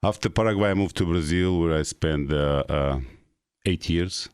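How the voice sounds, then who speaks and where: Very deep-voiced man, Russian-accented English. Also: darkish tone, clean tone, no echo, clean studio sound. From North Ossetia, Russia. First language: Ossetian. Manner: halting English with frequent uh pauses. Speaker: guest. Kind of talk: casual interview.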